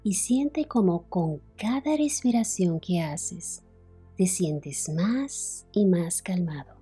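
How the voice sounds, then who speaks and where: Woman, meditation guide, very slow pacing, soft husky voice, soothing delivery, long pauses. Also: fairly low pitch, animated, soft background music. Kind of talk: guided relaxation, yoga nidra narration.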